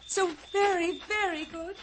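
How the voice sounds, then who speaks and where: An actress playing a sweet, wonderstruck young fairy-tale heroine in a breathless, dainty, earnest way, in old-time radio drama style. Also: female voice, extremely high pitch, fairly even intonation, unhurried. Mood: grateful. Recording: noisy, crackly old radio recording.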